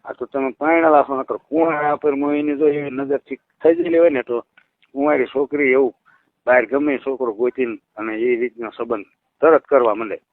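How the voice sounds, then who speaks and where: A man talks a caller through a ritual over the phone, reassuring and instructive, checking often that she understands. His voice comes through a tinny phone line with no room sound. He sits at a middling pitch. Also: steady tone, normal speed, no echo. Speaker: ritual healer advising a caller. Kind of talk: phone call.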